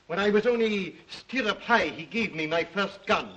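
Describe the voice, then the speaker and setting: A Russian-accented count obsessed with the hunt. The voice is high for a man and cuts brightly. He speaks with courtly, brooding deliberation in the style of old film melodrama. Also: normal speed, a little hiss or hum, poor audio. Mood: proud, boastful. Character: aristocratic Russian count, suave, obsessive big game hunter. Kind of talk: 1930s film-era melodramatic acting.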